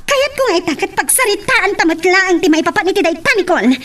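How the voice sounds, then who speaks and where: A woman's voice: very high-pitched, expressive, quick pace, fairly bright tone, a little hiss or hum. A woman with an Ilocano accent playing a suspicious young wife in an audio drama, questioning insistently. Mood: suspicious, demanding. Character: suspicious young wife, demanding the truth from her husband. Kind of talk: amateur Ilocano radio-style romance drama acting. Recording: distant from the microphone.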